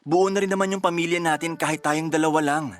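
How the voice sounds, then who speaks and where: A man's voice: a little high, steady tone, fast talker, soft background music, lightly acted. A man playing a patient, devout husband comforting his wife in a radio drama, soothing and earnest. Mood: earnest, emotional.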